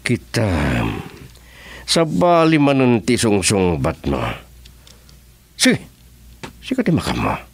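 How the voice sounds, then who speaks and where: An actor with a Filipino accent playing a commanding, confrontational crime boss in a radio drama. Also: male voice, fairly low pitch, wildly swooping pitch, slow pace, pauses often, breathy edge, exaggerated voice. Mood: irritated. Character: commanding male crime boss in shady business.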